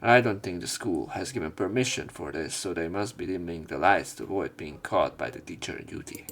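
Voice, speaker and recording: Guy doing a visual novel lets play, lowish gravelly voice, reads the story out and chats over it. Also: lively intonation, a little fast, a bit bright. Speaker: let's play host. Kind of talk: reading visual novel lines aloud with casual commentary.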